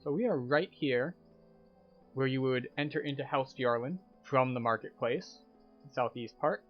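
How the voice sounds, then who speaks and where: A man's voice: medium pitch, moderate pace, lo-fi sound, soft background music. A man narrating a video game walkthrough as a tour guide, explaining each step. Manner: explanatory, guiding.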